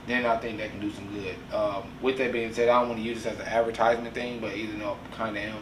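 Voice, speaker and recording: A man's voice: lowish voice, flat delivery, fast talker, reverberant, recorded from a distance, noisy background. A man in his forties hosting a casual podcast discussion, talking earnestly and preachily and often asking "you know what I'm saying?". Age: 40s. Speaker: podcast host.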